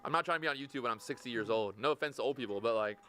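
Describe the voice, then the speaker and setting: A man's voice: mid-range voice, sharp tone, faint music behind. A man in casual podcast chat, blunt and profane, arguing hard. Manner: blunt, profane, fast-talking.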